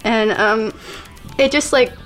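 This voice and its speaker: A woman speaking as a guest in a casual chat, with a calm, even, monotone way of talking. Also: fairly high pitch, slow pace, faint music behind, poor audio. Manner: calm, self-described monotone delivery.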